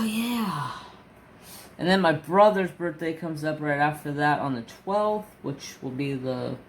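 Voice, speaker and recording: Slow-talking man in his forties. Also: on the high side, fairly bright tone, a little hiss or hum, low-quality recording. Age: 40s. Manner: rambling, chatty storytelling with restarts. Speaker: crafting vlogger. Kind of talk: casual chat while crafting.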